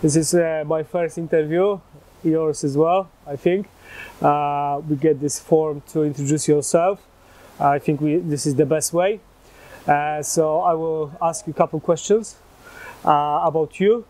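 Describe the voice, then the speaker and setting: Hesitant man interviewer, slightly high voice. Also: steady tone, a little slow, a little mellow. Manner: hesitant, frequent "e" fillers. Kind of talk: interview questions.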